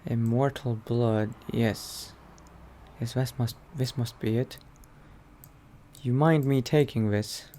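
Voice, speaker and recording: A man's voice: medium pitch, fairly even intonation, takes it slowly, warm tone, slight background noise. A man roleplaying in character in a video game, hesitantly muttering to himself as he narrates what he does.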